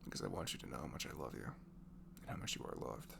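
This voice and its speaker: A young man softly leaving an intimate, improvised comfort message in ASMR style, tender and reassuring, murmuring and often slipping into a breathy whisper. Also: extremely low pitch, lively intonation, fast. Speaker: amateur audio performer playing a comforting friend. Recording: clearly noisy home recording with hum.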